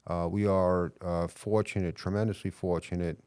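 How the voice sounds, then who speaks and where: Deep-voiced New York police chief. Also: male voice, level tone, darkish tone, clean tone, dry recording, clean studio sound. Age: middle-aged. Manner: earnest, measured, frequent hesitations. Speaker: police chief, podcast guest. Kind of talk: casual interview.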